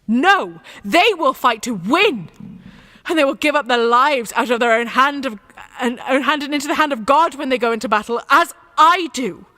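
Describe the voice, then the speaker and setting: A woman's voice: high-pitched, expressive, moderate pace, sharp tone. A woman playing a devout, headstrong young peasant warrior in a radio play, impassioned and plain-spoken. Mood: defiant, fervent.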